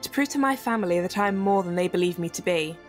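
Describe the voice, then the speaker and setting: Woman playing a determined, low-voiced fantasy heroine. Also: animated, fast talker, natural voice. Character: determined female fantasy adventurer seeking to prove herself. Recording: music bed behind the voice.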